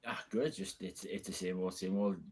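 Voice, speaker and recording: A man's voice: deep voice, fairly even intonation, normal speed. A man co-hosting a podcast in a laid-back, joking way. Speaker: podcast co-host. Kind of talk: casual podcast chat.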